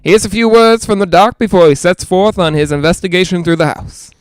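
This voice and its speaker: A man playing an excitable, skeptical reporter in a 1940s-style radio drama, hesitant and stammering when rattled. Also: sits high, a little fast, smooth, no echo, lightly acted. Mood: brisk narrating. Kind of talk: 1940s radio drama acting, live on-the-scene commentary.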